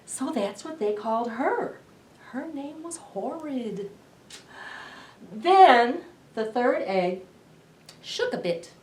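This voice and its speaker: A middle-aged woman hosting a children's storytime, reading aloud in an expressive, deliberate way to young listeners. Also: sits high. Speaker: library storytime host. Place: indoor library children's room. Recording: roomy echo, distant camera microphone.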